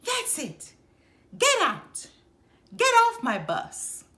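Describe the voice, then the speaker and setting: A woman voicing an angry, bullying male bus driver in a storybook, loud, commanding and scolding. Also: sits high, wildly swooping pitch, drawn-out words, reverberant, voice pushed up, full character voice. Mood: furious.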